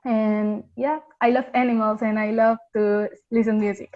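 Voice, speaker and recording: Woman, fairly high voice, stops to find words, muffled video call sound. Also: flat delivery, moderate pace. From Colombia. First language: Spanish. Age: young adult. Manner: hesitant, searching for words.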